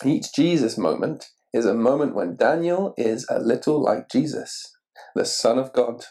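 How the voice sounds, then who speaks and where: A man reading a children's picture book aloud expressively and deliberately, putting questions to the listener. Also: medium pitch, animated, gravelly, warm tone. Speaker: story reader.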